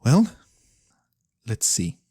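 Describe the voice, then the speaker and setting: A performer voicing a mysterious, courteous fantasy lorekeeper in a soft-spoken ASMR roleplay, breathy and theatrical with knowing pauses. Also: no room sound. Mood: ominous, reluctant. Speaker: storyteller character.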